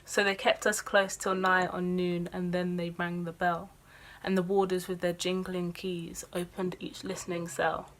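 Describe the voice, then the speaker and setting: Female poetry reader, slightly low pitch, flat even intonation, verse recitation. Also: measured pace.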